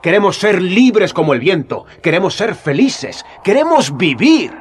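An actor playing a young, earnest Jesus in a radio drama, talking with passionate urgency. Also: male voice, high-pitched, sing-song, unhurried, slight background noise. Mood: urging. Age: young adult.

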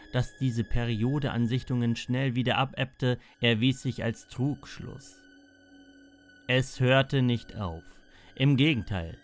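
A man narrating a scripted mystery documentary in a measured way, with dramatic pauses and emphatic short sentences. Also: mid-range voice. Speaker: narrator of a mystery audio report.

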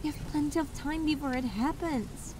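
Woman acting a high-pitched, exasperated wife. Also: moderate pace, lightly acted. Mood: exasperated, firm. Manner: scolding, insistent. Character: tired, exasperated pregnant wife in her thirties. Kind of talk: audio drama acting. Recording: slightly distant mic, faint rain noise.

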